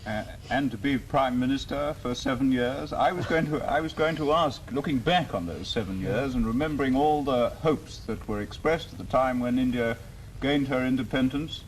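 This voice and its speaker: A man of medium pitch questions a guest in a formal broadcast interview. His phrasing is halting, roundabout and deferential, captured on a faintly noisy 1950s archival recording. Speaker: press interviewer.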